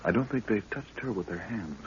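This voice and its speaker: A man's voice: fairly low pitch, lively intonation, brisk, natural voice. A man playing an earnest, respectful, anxious young assistant in a 1930s radio adventure. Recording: muffled, noisy old 1930s radio recording.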